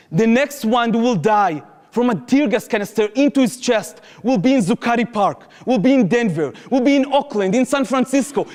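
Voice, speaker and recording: A man's voice: extremely high pitch, a little mellow, long reverb tail. A young man with an Israeli accent, giving an earnest, impassioned public talk and telling a personal story to an audience. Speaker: activist speaker. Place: lecture hall.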